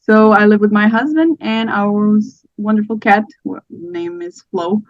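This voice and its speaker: A woman in her thirties from Portugal with a Portuguese accent, telling her personal story as a podcast guest in a soft, hesitant way with many fillers. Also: medium pitch, unhurried, no echo, highs cut off. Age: 30s.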